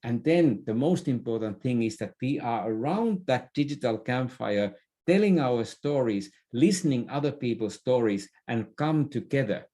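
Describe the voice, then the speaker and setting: A middle-aged man speaks as an interview guest. He offers advice in a conversational way, hesitating and doubling back over words as he builds each thought. His pitch sits in an ordinary middle range for a man. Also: expressive, moderate pace, dark tone. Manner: hesitant, repeating words as he goes. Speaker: podcast guest, business expert.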